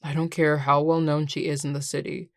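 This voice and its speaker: Woman voicing a low, sardonic, grumpy young man. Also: little pitch movement, brisk, no room sound, studio quality. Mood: guarded, annoyed. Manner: curt, sarcastic, exasperated sighing. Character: grumpy, sardonic young male tsundere coworker. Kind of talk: scripted audio roleplay acting.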